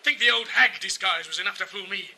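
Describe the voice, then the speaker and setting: A man's voice: sits high, quick pace, bright, forceful tone, slight background noise. A man playing a deluded, paranoid corporate manager in an audio drama, pompously barking orders like a military commander. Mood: menacing. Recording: music behind, 1980s amateur audio drama.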